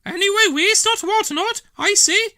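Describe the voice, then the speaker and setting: Shrill, grumbling village woman done by a man. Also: normal speed, bright, forceful tone, no room sound, studio quality, full character voice. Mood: flustered. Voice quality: shrill falsetto. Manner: grumbling, flustered.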